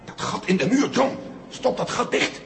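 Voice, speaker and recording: An actor playing an authoritative but worried general, exclamatory and quick to give orders, in a vintage science fiction radio drama. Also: male voice, extremely high pitch, expressive, creaky, faint music behind, exaggerated voice. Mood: alarmed. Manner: exclamatory, barking orders, concerned. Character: male general, anxious but authoritative comrade. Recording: noisy vintage 1970 radio recording.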